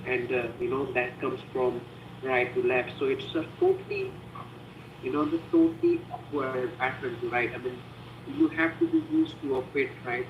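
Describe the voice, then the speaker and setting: A man's voice: medium pitch, echoey room, distant mic, muffled recording, background noise. A man whose first language is Hindi, answering practice speaking questions on a video call in loose, filler-heavy sentences. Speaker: IELTS speaking practice candidate. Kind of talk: online practice speaking session.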